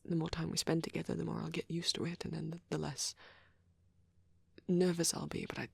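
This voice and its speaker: A woman playing a shy, nervous vampire boy in a soft-spoken ASMR roleplay, with a hesitant, rambling, stammering delivery and a husky voice. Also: low-pitched, little pitch movement, brisk, no echo, exaggerated voice. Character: shy, nervous young male vampire on a date.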